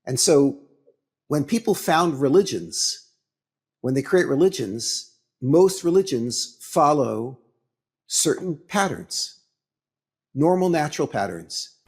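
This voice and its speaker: A man giving a religious lecture as a rabbi, with halting, deliberate phrasing and emphatic pauses. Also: fairly high pitch, expressive, breathy edge.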